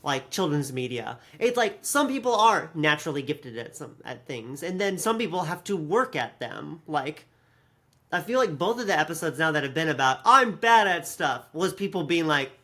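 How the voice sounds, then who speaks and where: A podcast co-host in loose, conversational review mode. The delivery is animated and unfiltered, with some swearing and mock-quoting, at a middling pitch. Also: normal speed. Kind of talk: casual review chat.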